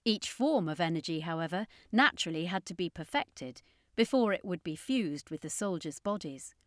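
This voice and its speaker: A woman's voice: fairly low pitch, animated, normal speed, dry recording, clean studio sound. A woman reading a scripted adventure story as an audiobook narrator, in a dramatic storytelling style.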